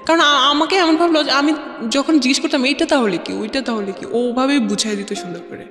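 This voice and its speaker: Female interview guest, Bangladeshi accent, high pitch, halting personal storytelling, echoey reverb. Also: fairly bright tone. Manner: halting, repeats phrases, mixes in English words. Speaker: guest telling own life story. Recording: echoey reverb, faint music bed. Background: faint music.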